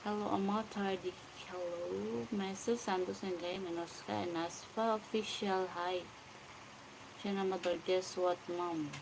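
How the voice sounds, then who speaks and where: A woman's voice: medium pitch, fairly even intonation, measured pace, gravelly, background noise. A Filipino woman in her forties with a Filipino accent, chatting on a casual late-night home livestream and greeting viewers by name as she reads their comments aloud. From Philippines. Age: 40s. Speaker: livestream vlogger.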